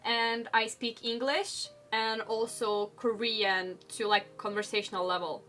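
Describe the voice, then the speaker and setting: A woman's voice: on the high side, steady tone, a little slow, fairly bright tone, faint music behind. A young woman whose first language is Lithuanian, chatting casually and upbeat in a group podcast. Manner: chatty, upbeat, uses "like" a lot. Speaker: dance group member.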